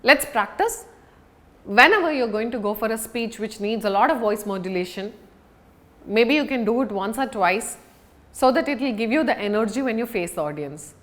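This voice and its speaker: A woman giving an instructional lesson as a public speaking coach, talking in a deliberate, emphatic way with pauses for stress. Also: fairly high pitch, expressive, fairly bright tone.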